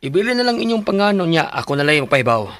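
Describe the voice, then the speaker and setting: A dismissive church office worker in a Filipino radio drama, with a Filipino accent. His voice sits a little high for a man. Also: sing-song, fast talker.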